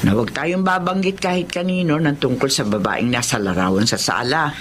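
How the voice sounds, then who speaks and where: An actress with a Filipino accent playing a guarded, stern middle-aged housekeeper in a radio horror drama, secretive and admonishing. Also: female voice, extremely low pitch, brisk, slight background noise. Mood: stern warning. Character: Aling Leoncia, guarded, stern older female housekeeper.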